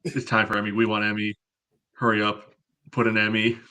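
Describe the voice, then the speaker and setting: A man on a casual sports podcast panel, talking in a halting way full of verbal tics. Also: fairly low pitch, monotone, sharp tone. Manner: halting, peppered with "you know".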